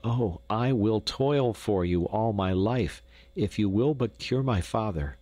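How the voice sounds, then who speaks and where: A man's voice: fairly low pitch, lively intonation, slow pace, a little mellow, dry recording, pitched above the performer's own voice. A man voicing a poor young Dutch boy who pleads earnestly with a doctor. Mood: pleading.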